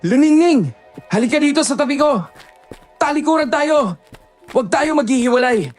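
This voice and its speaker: A man voicing a brave rural man who shouts defiance at monsters in a spoken horror story. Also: very high-pitched, expressive, normal speed, faint music behind. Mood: urgent defiant. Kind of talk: audio horror story acting.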